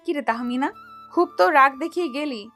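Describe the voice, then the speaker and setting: A woman's voice: high voice, moderate pace, a bit bright, soft background music. A woman playing a teasing, curious schoolgirl best friend in an audio love story.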